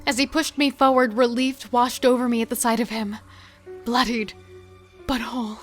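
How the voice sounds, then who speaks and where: Actress as female killer, fairly high pitch, wary, seething, devoted, tense emotive horror storytelling, prominent music behind. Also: little pitch movement, moderate pace, close to an everyday voice. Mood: grim, tense. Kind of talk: first-person dramatic narration and horror audio drama dialogue.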